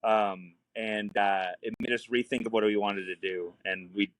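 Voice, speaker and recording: A man's voice: lowish voice, fairly even intonation, normal speed, no echo, poor audio. A young man, a guest filmmaker talking frankly and easily in a casual podcast chat. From New Orleans. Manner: frank, easygoing, laughs often.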